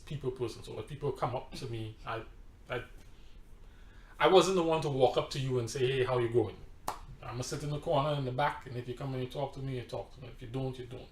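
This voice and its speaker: A man's voice: medium pitch, brisk, a little hiss or hum. Trinidadian man telling his story. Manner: storytelling, emphatic, repeats words. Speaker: pastor. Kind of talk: personal testimony in conversation. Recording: roomy echo.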